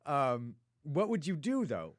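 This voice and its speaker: A man's voice: a little high, sing-song, takes it slowly, dry recording, studio quality. A young man hosting a casual podcast, teasing his co-host and laughing often. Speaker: radio show host.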